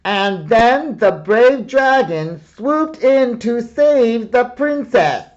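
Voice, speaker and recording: Bold storybook boy played by a man, booming and high, declaiming an adventure with great drama, slightly dull sound. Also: animated, extremely slow, exaggerated voice. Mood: bold, dramatic. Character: brave boy dramatically reading an adventure tale aloud.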